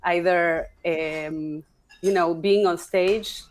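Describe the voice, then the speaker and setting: A woman, a guest talking through her career in a relaxed interview, pausing and restarting as she thinks. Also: on the low side. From Argentina. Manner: halting, restarts often, thinking aloud. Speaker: guest, opera singer and stage director. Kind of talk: casual interview conversation.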